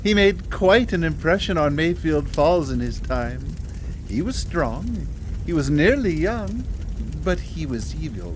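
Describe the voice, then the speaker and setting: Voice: medium pitch, big pitch swings, unhurried, over music. An actor playing an eerie, courteous figure who reports on the newly dead in a live radio drama. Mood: matter-of-fact relish. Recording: noisy live radio broadcast.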